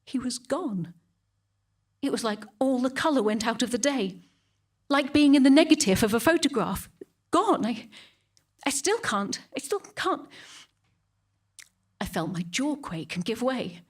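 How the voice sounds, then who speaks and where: A British woman acting a devout, defensive churchgoer with a guilty secret, delivering a halting, indignant dramatic monologue that repeats phrases for emphasis. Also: sits high, lively intonation, slightly rough, full character voice. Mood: grief-stricken. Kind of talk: dramatic monologue to a police officer.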